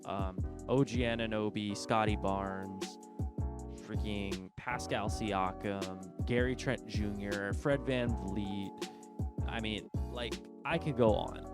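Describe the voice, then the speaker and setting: A man's voice: lowish voice, fairly even intonation, takes it slowly, loud music behind the voice. A man with a cold and a stuffy, congested voice, rambling informally as he hosts a casual basketball chat. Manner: rambling and informal, thinking aloud. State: congested from a cold, sore throat. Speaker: basketball video host. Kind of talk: casual sports commentary.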